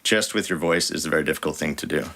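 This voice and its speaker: A man who is a voice actor, chatting in an interview in an animated, joking way with many restarts. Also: rumbling low, rapid-fire, creaky. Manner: animated and jokey, with frequent restarts. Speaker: voice actor guest. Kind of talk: casual interview chat.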